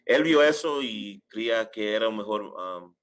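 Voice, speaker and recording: Deep-voiced man, halting storyteller. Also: animated, fairly bright tone. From United States, New York. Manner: halting, sprinkles English filler phrases into Spanish. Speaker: imam, interview guest. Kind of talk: casual personal storytelling in an interview.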